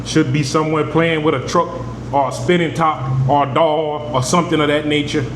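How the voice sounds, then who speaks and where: A man's voice: fairly high pitch, fairly even intonation, normal speed. A man teaching scripture, reading verses aloud and then explaining them in plain, emphatic talk. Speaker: preacher. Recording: echoey room with air conditioning hum.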